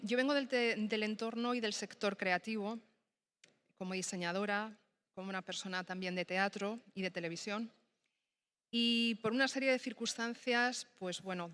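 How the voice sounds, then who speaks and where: Woman, native Spanish speaker, panel talk, thoughtful, halting, filler-heavy. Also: medium pitch, a bit bright, clean tone. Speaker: panel speaker, secondary school design teacher.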